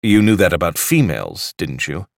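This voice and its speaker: A man's voice: low-pitched, very animated, moderate pace, gravelly, no room sound, studio quality, heavily acted. A man voicing a sour, peevish male clubman of about fifty, sardonic and lazy.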